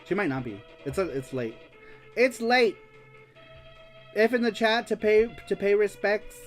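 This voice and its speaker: A man with a fairly high voice hosts a live stream, reading out viewer comments in a loose, chatty way. He laughs a lot, curses freely and slips easily into Spanish. Soft music plays faintly behind him. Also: sing-song, a little slow. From United States. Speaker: host of a live-streamed retro gaming show.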